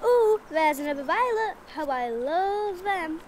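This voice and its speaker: High, girlish young goddess's daughter played by a woman. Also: expressive, measured pace, darkish tone, a little hiss or hum. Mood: delighted. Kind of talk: school audio drama acting.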